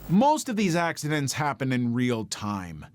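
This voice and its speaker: A man's voice: medium pitch, huge pitch swings, a little slow. A man reading a scripted true-story narration in a dramatic storytelling manner. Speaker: narrator of video documentary. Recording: music under the voice. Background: background music.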